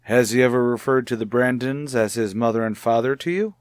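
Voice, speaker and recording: Actor as a measured, probing male doctor, dry sound. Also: mid-range voice, clean tone, no room sound, lightly acted. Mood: clinical, patient. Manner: measured, deliberate, clinical questioning. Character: calm, probing, formal male child psychologist. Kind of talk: vintage radio soap opera acting.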